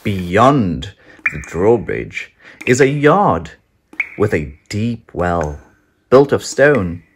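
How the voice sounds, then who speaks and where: Storybook narrator, medium-pitched, very slow and suspenseful, with big dramatic swings for young listeners. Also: very animated, a little hiss or hum. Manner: dramatic, suspenseful, deliberate phrasing. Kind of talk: expressive children's read-aloud storytelling.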